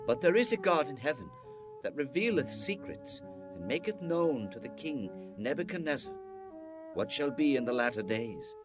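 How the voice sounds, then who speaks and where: A male actor as a devout prophet in a scripture drama, proclaiming with solemn fervour. His pitch swings widely between reverent, weighty pauses. Music plays clearly behind him. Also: sing-song, close to an everyday voice. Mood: solemn, earnest.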